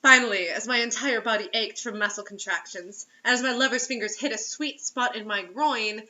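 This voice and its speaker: A woman's voice: a little high, normal speed, sharp tone, muffled recording. A woman giving a theatrical, giggly, mocking read-aloud of amateur fiction, with asides. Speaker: amateur reader of bad fiction.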